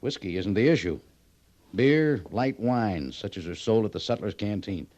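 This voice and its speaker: An actor playing a harried, authoritative American army major in an old-time radio western, on a muffled old recording. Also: male voice, on the low side, lively intonation, normal speed, darkish tone. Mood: defensive, explaining. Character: harried, defensive army major commanding a frontier post.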